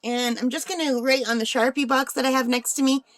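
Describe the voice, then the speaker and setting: A woman's voice: sits high, steady tone, fairly quick, no echo, clean studio sound. A woman hosting a craft unboxing vlog in a casual, chatty way, thinking aloud, with a slightly tired, under-the-weather voice. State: slightly unwell, voice tiring. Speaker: craft channel host.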